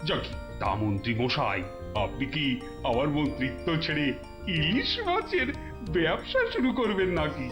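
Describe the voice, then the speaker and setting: A jovial cartoon king holds court in a fairly high, very animated voice. He teases with theatrical, drawn-out emphasis, over background music. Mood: amused teasing. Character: jovial, teasing adult king holding royal court. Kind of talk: Bengali cartoon voice acting.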